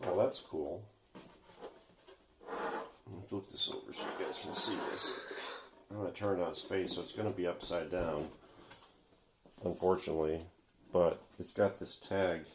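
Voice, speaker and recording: A man with a low, gravelly voice gives a casual hands-on demonstration. He talks off the cuff, half to himself, as he works. The room is echoey, with faint noise behind him. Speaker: vintage radio repair video host. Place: indoor workshop.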